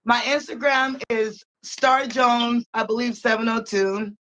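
A woman's voice: a little high, steady tone, measured pace, a bit bright, a little hiss or hum, poor audio. Giggly, rambling woman in her forties. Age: 40s. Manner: giggly, rambling, exclaims often. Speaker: caller on a dating show. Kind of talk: casual chat.

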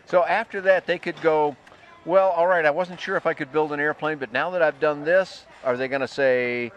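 A man's voice: on the high side, fairly even intonation, normal speed, dull audio, slight background noise. A man doing a casual on-site interview, conversational and inquisitive, often acting out hypothetical questions. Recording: music under the voice.

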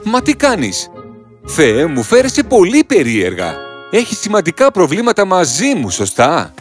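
Casting: male actor as grumbling young cartoon husband, fairly high voice, hugely swinging intonation, exasperated. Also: huge pitch swings, soft background music. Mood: angry, bewildered. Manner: exasperated, dramatic complaining. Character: grumbling, short-tempered young husband, weary from work.

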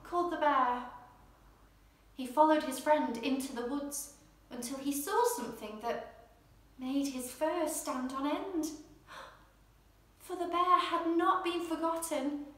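A young woman presenting and reading a children's storybook in an expressive, gentle bedtime style. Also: sits high, takes it slowly, long pauses. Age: young adult. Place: indoor room at home, seated in armchair. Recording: very echoey room.

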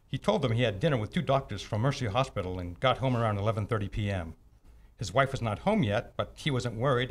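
An actor playing a teasing, cocksure police homicide detective in an old-time radio mystery, with smug, clipped delivery. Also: male voice, lowish voice, close to an everyday voice. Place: theatre stage, live performance. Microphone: stand mic on stage.

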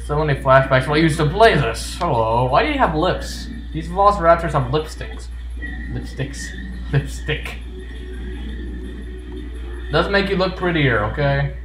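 A man's voice: fairly high pitch, expressive, measured pace, pauses often, a bit bright. A man giving casual, jokey gameplay commentary, often talking back to the game's characters. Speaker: gaming video host. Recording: roomy echo with game music behind. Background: video game music.

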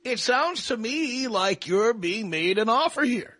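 A man's voice: very high voice, lively intonation, a little slow, fairly bright tone. A man hosting a call-in radio show, blunt and pragmatic with a dry, joking manner. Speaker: radio talk show host.

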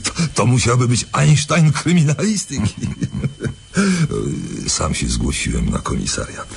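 Cheeky, animated small-time convict. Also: male voice, mid-range voice, slow, creaky, a little hiss or hum, heavily acted. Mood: cocky, joking. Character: cheeky, boastful male small-time convict, loyal to pals. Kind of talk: radio drama acting.

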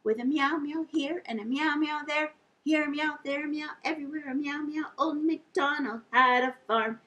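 A middle-aged woman hosting a sing-along storytime for young children, animated and encouraging as she addresses them directly. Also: high voice, moderate pace, lo-fi sound. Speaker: children's library storytime host. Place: indoor lounge with couch and fireplace.

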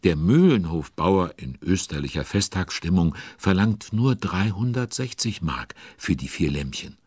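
A man narrating a children's audio drama as a genial storyteller, expressive and chatty with young listeners. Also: low voice, measured pace, slightly rough, no room sound, studio quality, heavily acted. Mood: excited.

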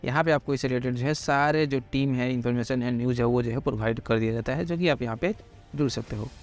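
A man giving a quick, casual cricket pitch analysis, talking straight to viewers with lots of filler phrases. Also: soft background music. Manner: rapid, heavy filler phrases, addresses viewers directly. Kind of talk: fast casual explainer over slides.

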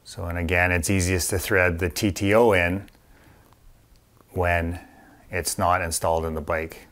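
A man's voice: sits low, little pitch movement, unhurried, a bit bright. A middle-aged man in a workshop, casually walking viewers through a motorbike part install, plain-spoken with a few fillers. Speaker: parts company host demonstrating an install. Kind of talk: casual how-to walkthrough.

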